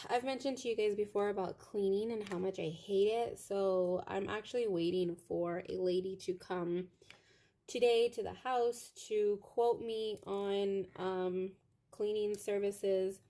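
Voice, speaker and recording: A young woman with a loud voice, chatting casually from her home office while crafting, meandering with lots of fillers. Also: mid-range voice. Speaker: craft vlogger. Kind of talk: rambling casual chat to viewers.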